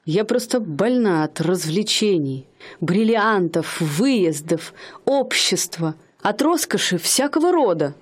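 A woman's voice: medium pitch, big pitch swings, slow, slightly rough, exaggerated voice. An actress playing a young woman who poses as a bored rich lady in a radio play, speaking in a haughty, mannered way. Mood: languid. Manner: haughty, mannered, languid speech.

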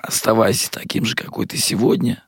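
A man hosting a radio interview, hoarse today, talking warmly and with many pauses and fillers. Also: fairly low pitch, steady tone, no room sound, studio quality. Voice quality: husky, gravelly. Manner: warm-hearted, rambling, many fillers. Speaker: radio host. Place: radio studio.

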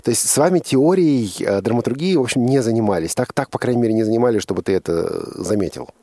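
A man hosting a radio talk show, interviewing conversationally with halting, self-correcting phrasing. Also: medium pitch, expressive, warm tone, no echo. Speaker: radio host. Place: dry radio studio.

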